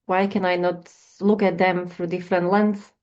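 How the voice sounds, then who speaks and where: Hesitant soft-spoken woman, lo-fi sound. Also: on the low side, little pitch movement, normal speed. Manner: hesitant, searching for words. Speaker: guest counsellor. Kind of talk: reflective personal conversation. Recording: dull lo-fi audio.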